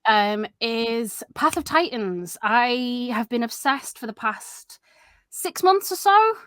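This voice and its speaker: A woman's voice: a little high, lively intonation, takes it slowly, a bit bright. A woman from Manchester with a slight Manchester accent, chatting casually as a guest on a video call and laughing often. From Manchester, England. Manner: giggly, chatty. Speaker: guest streamer. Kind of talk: casual chat.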